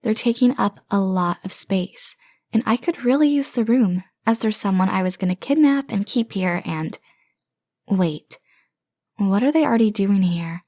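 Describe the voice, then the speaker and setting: A woman's voice: mid-range voice, lively intonation, moderate pace, mellow, dry recording, lightly acted. Woman playing a dramatic, obsessive yandere sister, dry studio sound. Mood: casual, puzzled. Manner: theatrical, indignant, rambling, boastful. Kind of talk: comedic audio roleplay.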